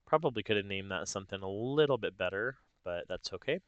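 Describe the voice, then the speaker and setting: Low, clean-voiced man giving a tutorial. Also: lively intonation, quick pace, fairly bright tone, smooth, dry recording, lo-fi sound. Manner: informal, step-by-step, thinking aloud. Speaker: tutorial host. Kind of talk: casual software tutorial narration.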